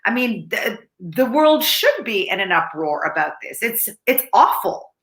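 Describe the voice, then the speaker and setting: A woman's voice: medium pitch, unhurried, echoey room. A woman film critic whose first language is Ukrainian, chatting in a casual review with laughs and frequent restarts. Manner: animated, laughing, restarts often.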